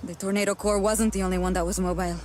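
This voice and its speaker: A woman's voice: medium pitch, steady tone, racing, a little mellow, slight background noise, lightly acted. An actress playing a focused young female rebel fighter in a video game. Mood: confiding, purposeful. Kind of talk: video game cutscene acting.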